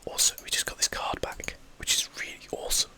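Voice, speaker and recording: Casting: game streamer for ASMR, fully whispered, bright and airy, casually thinking through each move. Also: a little fast. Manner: whispering throughout, breathy and unvoiced. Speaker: gaming video host. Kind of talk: whispered ASMR gameplay commentary.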